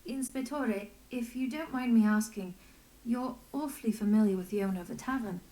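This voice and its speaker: An actress playing a courteous, earnest young artisan in a fantasy video game, speaking carefully and deferentially. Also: female voice, fairly high pitch, a little fast, mellow, close to an everyday voice, low-quality recording. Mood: polite, curious. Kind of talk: dramatic video game dialogue.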